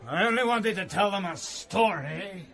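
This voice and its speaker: An actor playing an older drunk in a pub, pleading for a pint in a radio drama. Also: male voice, high-pitched, normal speed, gravelly, a bit bright. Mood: wheedling. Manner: wheedling, pleading. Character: older male pub drunk begging for ale.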